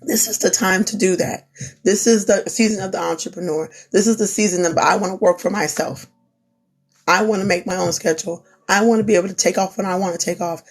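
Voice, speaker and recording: A woman's voice: medium pitch, steady tone, brisk, slightly rough, faint music behind. A young woman who is losing her voice gives an earnest, repetitive pep talk on a live stream. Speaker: small business owner, crafter. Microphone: phone.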